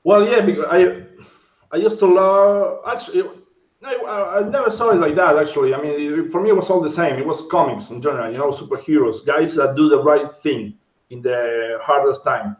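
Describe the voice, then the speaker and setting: A man's voice: a little high, fairly quick. A man in his thirties from Argentina whose first language is Spanish, a guest sculptor chatting in a rambling way and searching for English words. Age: 30s. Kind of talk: casual interview chat. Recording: dull, roomy sound.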